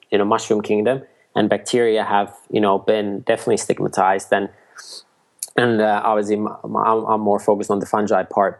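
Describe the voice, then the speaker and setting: A man from Finland, his voice low and flat in pitch. He talks as a podcast guest, laying out ideas in a steady, explanatory way. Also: gravelly. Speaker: guest, mushroom food entrepreneur. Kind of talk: casual interview talk.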